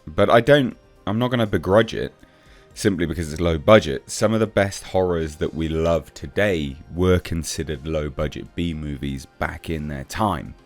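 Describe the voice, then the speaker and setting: A man's voice: rumbling low, normal speed, hardly any pauses, soft background music. A man giving casual, off-the-cuff commentary on film news as a reviewer, blunt and sceptical in manner. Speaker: film reviewer, channel host.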